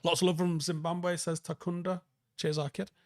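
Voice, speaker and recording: A man with a softened Manchester, northern English accent, chatting casually and bluntly as a livestream host answering viewer comments, with plenty of swearing. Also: fairly high pitch, flat delivery, moderate pace, no room sound, studio quality.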